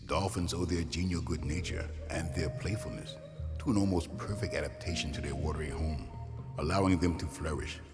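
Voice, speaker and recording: A wise, calm guide from a fantasy island. His voice is deep and measured, explaining things with patient assurance. It is audio drama acting with music clearly underneath.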